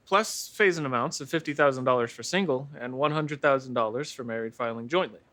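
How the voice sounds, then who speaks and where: A man's voice: mid-range voice, a little fast, fairly bright tone, no echo, close to an everyday voice. A man playing an earnest, precise accountant sidekick who explains rules.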